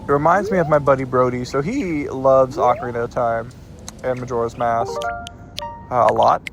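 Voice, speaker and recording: A man's voice: mid-range voice, steady tone, moderate pace, over music. A man giving casual let's-play commentary, a little stuffed up, rambling and often correcting himself mid-sentence. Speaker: gameplay commentator.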